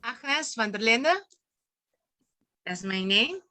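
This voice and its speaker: Woman from Aruba, meeting guest; bright tone, brisk, dry close audio. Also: fairly high pitch, animated, no echo. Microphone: video call audio.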